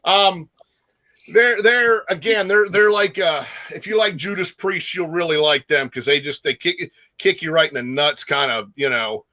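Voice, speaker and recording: A man chatting casually about music as a guest co-host, enthusiastic and slangy, often halting as he gathers his thoughts. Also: a little high, sharp tone, dry recording. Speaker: guest co-host, metal fan.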